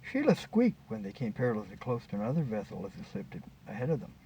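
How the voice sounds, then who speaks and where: Male author-narrator for short fiction. Animated intonation, slightly distant, dull recording with faint noise. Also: on the low side, fast. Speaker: author reading own short story. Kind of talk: scripted story narration.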